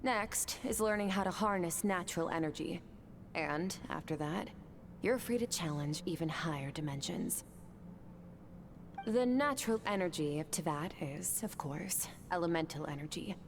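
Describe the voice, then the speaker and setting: An actress voicing a cool, aloof swordmaster mentor in a game, composed, measured and cryptic. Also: female voice, on the low side, a little hiss or hum, lightly acted.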